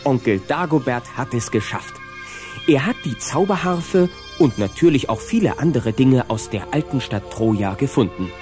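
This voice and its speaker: A man narrating a scripted cartoon adventure as storyteller, in a measured, dramatic way. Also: medium pitch, animated, darkish tone, soft background music, natural voice.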